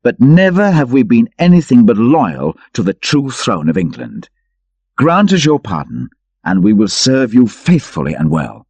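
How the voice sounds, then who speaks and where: Male narrator as hearty storybook outlaw hero, proud, earnest, declamatory, lifted swooping pitch. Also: wildly swooping pitch, measured pace, a little mellow, breathy edge, no room sound, full character voice. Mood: earnest pleading. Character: bold, loyal outlaw leader, hearty and earnest. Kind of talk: dramatic audiobook character voice.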